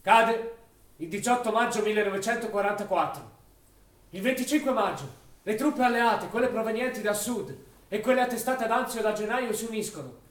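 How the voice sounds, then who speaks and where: An actor playing an urgent wartime chronicler, proclaiming front-line news in theatrical narration. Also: male voice, high-pitched, normal speed, natural voice. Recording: echoey stage, distant microphone.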